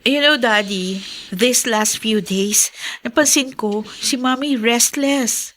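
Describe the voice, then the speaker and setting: A Filipino actress playing a concerned, respectful young daughter in a radio drama, polite and earnest. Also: female voice, on the high side, slow, fairly bright tone. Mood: worried. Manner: polite, earnest, mixes in English.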